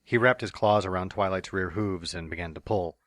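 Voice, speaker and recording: Low-voiced male narrator, plain and even, dry close sound. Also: fairly quick, no echo. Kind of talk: fan dramatic reading of a story.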